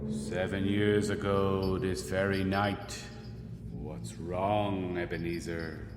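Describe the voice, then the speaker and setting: A man playing the ghost of a dead old businessman in a radio play, speaking in slow, grave, booming pronouncements. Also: little pitch movement. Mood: solemn. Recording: echoey ghostly reverb with music.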